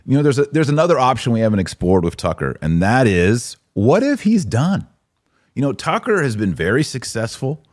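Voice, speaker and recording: A man's voice: medium pitch, sing-song, moderate pace, no echo, clean studio sound. A man hosting a live podcast, giving casual commentary and explaining things conversationally to listeners. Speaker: podcast host.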